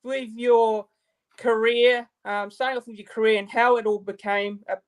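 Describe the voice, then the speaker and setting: A man's voice: very high-pitched, flat delivery, moderate pace, clean tone. A man from New Zealand with a New Zealand accent, hosting a casual live-stream interview on a video call, talking with many fillers and restarts. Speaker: YouTube channel host.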